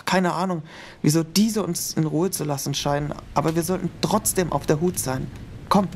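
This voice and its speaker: A woman's voice: sits low, moderate pace, a little mellow, a little hiss or hum. A woman voicing a nervous young male student in an amateur audio drama, fretting and talking to herself. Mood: wary. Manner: anxious, fretful, talks to herself. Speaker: voice actor in a fan audio drama. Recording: music playing behind voice.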